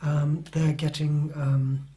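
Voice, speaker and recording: A man's voice: fairly high pitch, little pitch movement, takes it slowly, mellow. A middle-aged man who is not a native English speaker, an author answering interview questions in a hesitant way, with many fillers and restarts.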